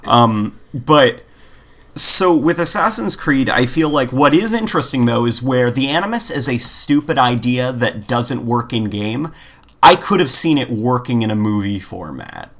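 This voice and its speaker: A man's voice: medium pitch, animated, unhurried, fairly bright tone. A man co-hosting a casual podcast, chatting in an opinionated, emphatic way and repeating himself as he goes. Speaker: podcast co-host. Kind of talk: casual opinionated podcast chat about games.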